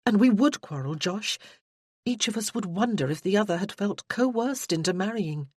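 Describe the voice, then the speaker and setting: A woman's voice: mid-range voice, lively intonation, moderate pace, darkish tone, slightly rough, dry recording, clean studio sound, pitched above the performer's own voice. A reader voicing a proud, fiery young betrothed lady from a Regency romance, haughty and fierce in her speech. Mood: intense, haughty.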